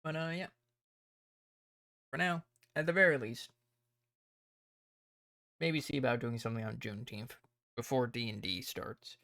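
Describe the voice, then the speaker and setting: A man streaming casually, rambling and thinking aloud as he plans, his voice tired and croaky. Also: medium pitch, measured pace, pauses often, bright, forceful tone, no room sound, studio quality. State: hoarse, tired voice. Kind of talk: casual livestream chat.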